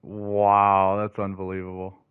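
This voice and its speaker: A man giving casual, slangy gameplay commentary, excitable and often talking to himself. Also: deep voice, unhurried, smooth, narrow, tinny audio. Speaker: video game streamer.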